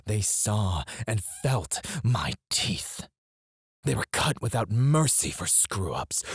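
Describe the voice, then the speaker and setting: A man playing an obsessive, controlling CEO in an intimate ASMR roleplay, speaking in a deliberate, condescending, menacingly doting way with a breathy voice. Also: low-pitched, dry recording, clean studio sound, heavily acted. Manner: slow, deliberate, condescending, swinging between murmurs and outbursts.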